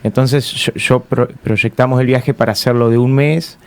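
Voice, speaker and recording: A man's voice: mid-range voice, steady tone, normal speed. A man with an Argentine accent, casually recounting his own experience as a guest in an interview. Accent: Argentine Spanish.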